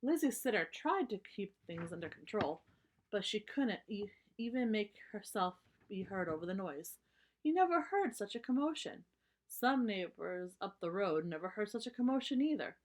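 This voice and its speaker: A woman reading a story aloud for children, narrating and pausing to explain things simply. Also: mid-range voice, very animated.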